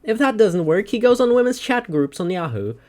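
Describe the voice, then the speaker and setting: A woman giving a dramatic scripted narration of a comic, with literary, deliberate delivery. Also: mid-range voice, big pitch swings, mellow.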